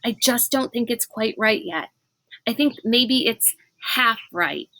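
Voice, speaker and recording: A woman's voice: on the high side, slow, sharp tone, exaggerated voice, low-quality recording. A woman voicing an anxious, earnest boy in a children's storybook read aloud. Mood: excited, urgent.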